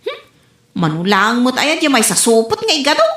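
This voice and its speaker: Casting: actress for pert Ilocano daughter, high bright voice with a plaintive edge, radio comedy, echoing room sound. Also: female voice, huge pitch swings, normal speed.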